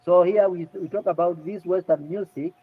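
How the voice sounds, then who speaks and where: A man lecturing to students, explaining things deliberately and repeating key points. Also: high-pitched, steady tone, breathy edge. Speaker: music lecturer. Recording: tinny phone-like audio.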